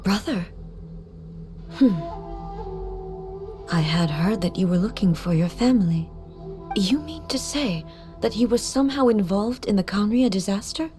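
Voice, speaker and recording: A woman playing a solemn, grieving immortal ruler in a video game, speaking formally and softly with measured composure. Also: medium pitch, expressive, over music, natural voice. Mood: subdued. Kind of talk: dramatic video game voice acting.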